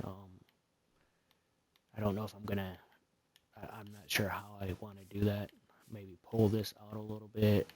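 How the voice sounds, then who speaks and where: A soft-spoken man streaming a building game, talking in a casual, rambling way with lots of fillers as he walks through his build. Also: on the low side.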